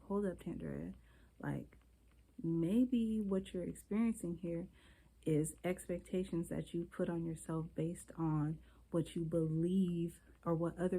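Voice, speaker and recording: Female vlogger, a bit low-pitched, congested from a cold, candid rambling chat to camera on a phone. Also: vocal fry, mellow, husky, poor audio. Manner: rambling, candid, talks straight to viewers. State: stuffy from a cold. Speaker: beauty vlogger. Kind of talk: casual chatty vlog.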